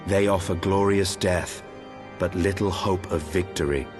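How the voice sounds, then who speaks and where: An actor playing a grim, proud dragoon knight in a fantasy game, speaking formal archaic English in a measured, guarded manner. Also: male voice, sits low, steady tone, music in the background. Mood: firm.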